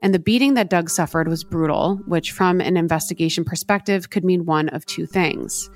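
A woman's voice: on the low side, normal speed, faint music behind. Woman narrating a true crime case. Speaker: podcast co-host.

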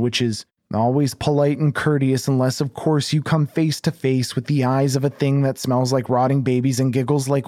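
A man's voice: mid-range voice, darkish tone, dry recording, clean studio sound. A man hosting a horror podcast, narrating a scripted tale in a theatrical, ominously polite manner. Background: music.

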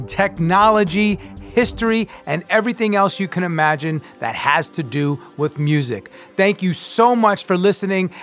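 A man's voice: high-pitched, fairly bright tone. A man speaking as a podcast host, delivering a scripted sign-off and promo. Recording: music underneath. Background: music.

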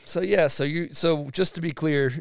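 A man's voice: fairly high pitch, fairly even intonation, moderate pace, creaky, dry recording, studio quality. A man hosting a podcast, chatting casually in a jokey, rambling way with bro humor. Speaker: podcast host. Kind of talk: casual chat.

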